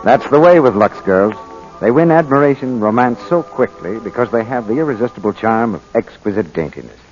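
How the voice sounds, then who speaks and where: A man's voice: medium pitch, lively intonation, a little fast, a little mellow, faint music behind. A man working as a commercial announcer, reading a scripted 1940s radio advertisement. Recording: muffled old 1940s radio recording.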